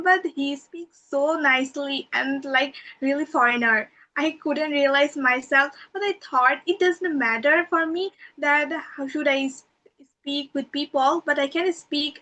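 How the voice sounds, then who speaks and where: A woman from Bangladesh, a podcast guest, giving earnest, long run-on answers with soft fillers. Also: sits high, poor audio. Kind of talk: interview answers.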